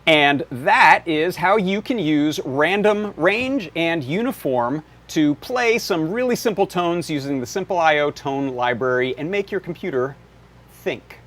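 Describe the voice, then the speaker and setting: Man with a lightly higher-pitched voice. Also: lively intonation, measured pace, a bit bright. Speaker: host of a live electronics workshop show. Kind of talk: casual live demonstration and explanation. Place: indoor workshop.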